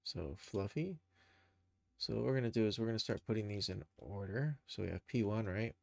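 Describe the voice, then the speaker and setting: A man's voice: lowish voice, a little fast, vocal fry, no echo, clean studio sound. A man streaming a casual tutorial, thinking aloud informally as he works. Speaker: streamer, game developer and animator. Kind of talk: casual live screen-share tutorial.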